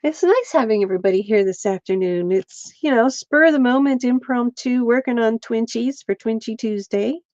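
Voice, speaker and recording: A woman's voice: fairly high pitch, moderate pace, hardly any pauses, a little mellow, no echo, highs cut off. A middle-aged woman from Pennsylvania, chatting casually with viewers while she crafts on a livestream. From central Pennsylvania.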